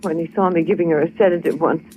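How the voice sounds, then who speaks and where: An actress playing a guilty nurse who confesses in a subdued, halting way in an old radio drama. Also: female voice, on the low side, steady tone, a little mellow, close to an everyday voice. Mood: subdued confession. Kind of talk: 1940s radio acting. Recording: slightly noisy old radio recording.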